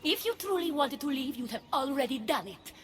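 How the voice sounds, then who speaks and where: A woman's voice: high voice, animated, brisk, soft background music. A woman playing an imperious, proud goddess queen in a video game, haughty and commanding with a cold, wounded edge. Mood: scornful. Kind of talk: dramatic video game cutscene acting.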